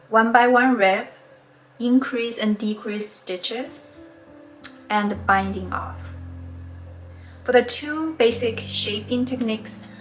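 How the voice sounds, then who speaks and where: A woman in her forties who grew up in China and speaks English as a second language after Mandarin, guiding beginners through knitting. Her delivery is gentle, earnest and encouraging, pitched in an ordinary middle register. Faint music plays quietly underneath. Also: low-quality recording. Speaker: knitting tutorial host. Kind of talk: friendly instructional talk.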